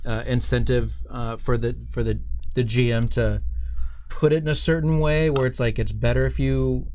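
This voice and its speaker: A man's voice: mid-range voice, fairly even intonation, moderate pace, a little mellow. Chatty man hosting a casual livestream. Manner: chatty, supportive, often agreeing. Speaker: host of a gaming livestream. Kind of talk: casual chat.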